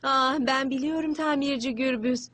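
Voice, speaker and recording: High-pitched, bossy cartoon woman played by an actress. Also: fairly even intonation, normal speed, background noise, close to an everyday voice. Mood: teasing. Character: bossy, exasperated but good-humored female race-track organizer. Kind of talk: dubbed children's cartoon acting. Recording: music playing behind the voice.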